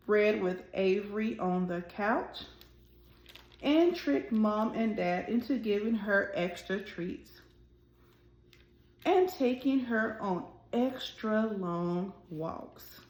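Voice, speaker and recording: A woman hosting a children's read-aloud, speaking deliberately and directly to young listeners as she reads a storybook. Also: medium pitch, gravelly, a little mellow. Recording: roomy, echoing sound.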